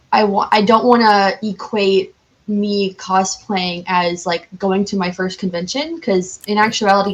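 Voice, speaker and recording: A woman's voice: mid-range voice, low-quality recording. A woman from the United States chatting casually as a podcast guest, rambling and correcting herself as she goes. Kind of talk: casual chat.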